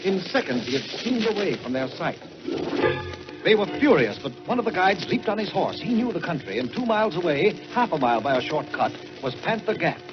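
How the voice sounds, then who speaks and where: A fairly high-voiced American man tells a story in measured, theatrical 1940s radio fashion, stretching words for drama. The vintage recording is dull, with music playing behind him. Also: sing-song.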